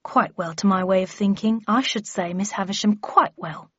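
A woman's voice: medium pitch, normal speed, fairly bright tone, husky. A woman voicing a polite, fussy middle-aged male storekeeper clerk with formal, itemising speech in an audiobook. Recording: muffled audio, roomy echo.

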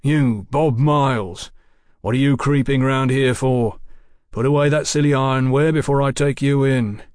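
A man playing a calm, wry police sergeant in an audiobook, speaking clearly and firmly with quiet command. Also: medium pitch, no echo, clean studio sound, natural voice. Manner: clear, firm, deliberate, admonishing.

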